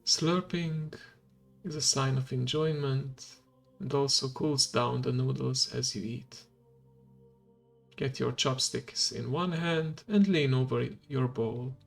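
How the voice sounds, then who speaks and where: A man whose first language is Hungarian, streaming as a VTuber in a soft ASMR style, speaking slowly and quietly and slipping into a whisper. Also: medium pitch, fairly even intonation. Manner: slow, hushed and gravelly, drifting into whisper. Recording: faint background music.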